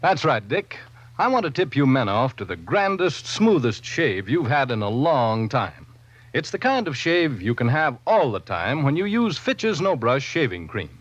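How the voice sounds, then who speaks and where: A man's voice: mid-range voice, very animated, normal speed, no room sound. A man reading a 1940s radio commercial as the announcer, upbeat and salesmanlike. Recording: muffled vintage radio broadcast.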